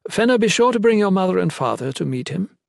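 A man voicing a stern but affectionate high-ranking matriarch with a formal, admonishing manner in a fantasy storybook. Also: big pitch swings, quick pace, gravelly, no room sound, studio quality, full character voice. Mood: grave, fond.

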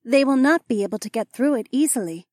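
A calm alien slime woman speaks in a high, formal, measured way, voiced by a man reading the story. She never uses contractions and sounds careful. The recording is dry. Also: no room sound.

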